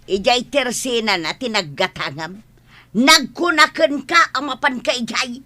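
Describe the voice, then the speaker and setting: Nagging wife for radio comedy, female actor: bright, high voice, bossy, grudge-holding, Ilocano accent. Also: measured pace. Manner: nagging, commanding.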